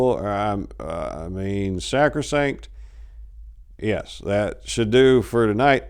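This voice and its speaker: A man playing a drunk, irritated young priest in a story reading, placating with halting, weary politeness. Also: mid-range voice, a little mellow, clean tone, voice pushed up, close to an everyday voice.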